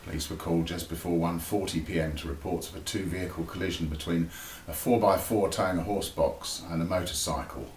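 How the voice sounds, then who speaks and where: A man's voice: low voice, fairly even intonation, a little fast. A man with a British accent reading a scripted local news bulletin. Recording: roomy sound with faint background noise.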